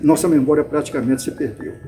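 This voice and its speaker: An older man from Bahia, Brazil, recalling his past in an interview, unhurried and often restarting or repeating words. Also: fairly high pitch, warm tone. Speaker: interviewee, club founder. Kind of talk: reminiscing interview.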